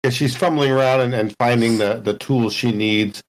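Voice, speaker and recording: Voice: medium pitch, normal speed, rough recording. A tabletop game player describing their character in a casual, playful way. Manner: animated, playful.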